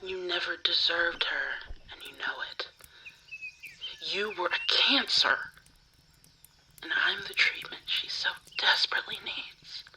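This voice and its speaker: A woman playing a cold, obsessive yandere menacing a rival in an ASMR roleplay, hushed, deliberate and contemptuous. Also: lowish voice, sing-song, heavily acted, poor audio. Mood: cold menace.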